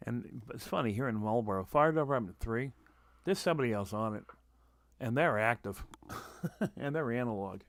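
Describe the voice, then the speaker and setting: A man from New England hosting a casual, unscripted livestream, halting and repeating himself as he thinks aloud. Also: mid-range voice, animated, no echo. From New England, United States. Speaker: livestream host, radio scanner hobbyist. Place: room at home. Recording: noticeable background noise, home livestream.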